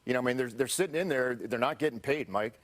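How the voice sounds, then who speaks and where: American guy anchoring live tv news, mid pitched voice, reading stories and asking questions. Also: quick pace. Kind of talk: live newscast, reading and interviewing.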